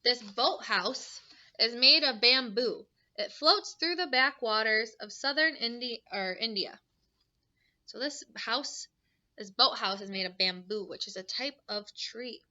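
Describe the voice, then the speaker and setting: A woman's voice: fairly high pitch, unhurried, sharp tone, lo-fi sound. A woman teacher reading a picture book aloud to young children, adding simple explanations and questions in an instructive way.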